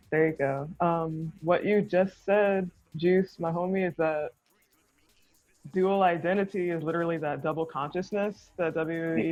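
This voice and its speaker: A woman's voice: deep voice, darkish tone, dry recording, dull audio. A woman hosting a casual interview, enthusiastic and affirming. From United States. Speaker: host.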